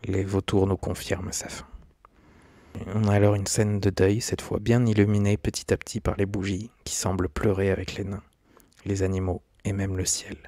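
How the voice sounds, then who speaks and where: Man, lowish pitch, dry close recording, scripted documentary-style voice-over. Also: little pitch movement, moderate pace, dark tone, no room sound. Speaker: video essayist, host.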